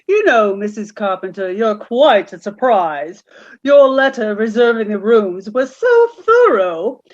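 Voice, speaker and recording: A woman's voice: on the high side, very animated, a little slow, lo-fi sound. A woman playing a deferential, chatty and politely nosy hotel receptionist in a radio play. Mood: fawning, surprised.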